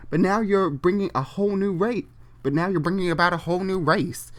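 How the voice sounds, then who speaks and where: A man's voice: high voice, brisk, fairly bright tone, pitched above the performer's own voice. A man voicing a worried, principled son who pleads and argues earnestly with his father, in a story reading. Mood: pleading. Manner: earnest, argumentative.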